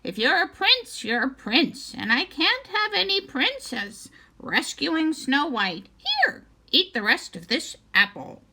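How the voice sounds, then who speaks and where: A nasty old storybook witch, acted out by a woman reader, croaking and high. She questions everything suspiciously, then dismisses it, with big swoops in pitch. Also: wildly swooping pitch, slow pace, few pauses, pushed and bright, exaggerated voice. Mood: suspicious, menacing.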